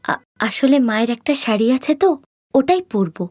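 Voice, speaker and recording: A woman's voice: fairly high pitch, expressive, normal speed, darkish tone, no room sound, clean studio sound, voice pushed down. A woman voicing a timid, hurt orphaned college girl in a cartoon story, speaking in a meek, pleading way.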